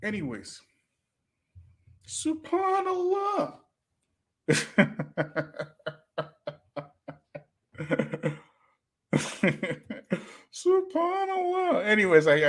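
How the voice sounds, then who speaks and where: A man hosting a reaction livestream, preachy and animated, swinging between loud and hushed delivery. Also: a little high. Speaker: livestream host.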